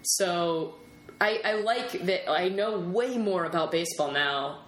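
Low-voiced woman chatting in an echoey room. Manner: chatty, quick to laugh. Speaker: podcast host. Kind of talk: casual interview chat. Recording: roomy echo, faint background noise.

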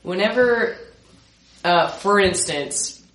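A woman's voice: medium pitch, lively intonation, takes it slowly, a bit bright. A young woman from South Carolina chatting on a podcast, earnest and wry. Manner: earnest, wry, laughs at herself. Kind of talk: casual podcast chat. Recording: roomy podcast room.

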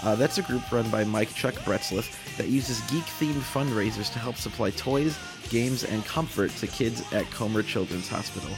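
A man with a fairly low voice presents a podcast introduction, moving through announcements as host. Guitar music plays clearly behind him. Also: normal speed, few pauses. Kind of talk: scripted-sounding podcast introduction.

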